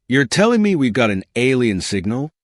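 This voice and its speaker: A man playing a skeptical, cautious male scientist in an audiobook, with a gruff, doubtful, muttering manner. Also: mid-range voice, big pitch swings, normal speed, dry recording, studio quality, close to an everyday voice.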